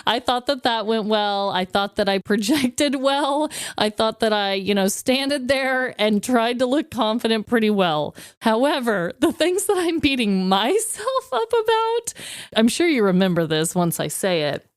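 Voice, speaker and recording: A woman's voice: a little high, sing-song, measured pace, clear, resonant tone, no room sound, studio quality. A woman co-hosting a podcast in casual comedic chat, telling stories in a self-deprecating way and swearing freely. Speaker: podcast co-host.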